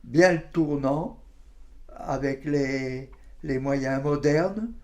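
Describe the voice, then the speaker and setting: Slow, creaky-voiced very old man. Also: mid-range voice. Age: 90s. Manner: halting, repeats phrases, colloquial. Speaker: interviewee, war witness. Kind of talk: reminiscing testimony in interview.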